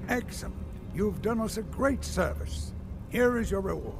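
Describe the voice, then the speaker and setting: A man's voice: high-pitched, expressive, normal speed, music in the background. A man playing an anxious, courtly steward, the uncle of a Jarl, in fantasy video game dialogue. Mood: grateful.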